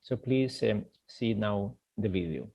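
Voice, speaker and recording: Guy running a formal video meeting, lots of uh pauses, kinda dull lo-fi sound. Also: fairly low pitch, expressive, vocal fry, breathy edge. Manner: measured and formal, with frequent "uh" pauses. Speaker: meeting chair, secretary general. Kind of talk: formal online meeting introduction.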